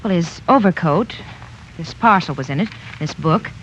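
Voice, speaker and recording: An actress playing a chatty, deferential young nurse in a 1940s radio drama. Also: female voice, fairly low pitch, expressive, normal speed, fairly bright tone, slight background noise. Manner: deferential, gossipy. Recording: muffled 1940s radio broadcast.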